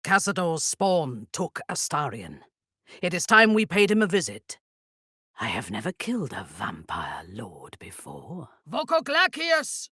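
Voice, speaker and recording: An actress playing a haughty, menacing female drow warrior cleric in a video game, speaking in an imperious, measured, cutting way. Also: low voice, sharp tone, husky, dry recording, studio quality, full character voice. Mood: cool, disdainful, then incanting. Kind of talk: video game character voice lines.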